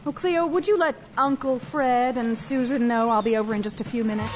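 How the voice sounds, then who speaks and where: A woman's voice: a little high, fairly even intonation, a little fast, lightly acted. An actress playing a practical, weary working mother and manager in an audio drama. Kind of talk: full-cast audio drama acting. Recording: muffled, slightly noisy recording.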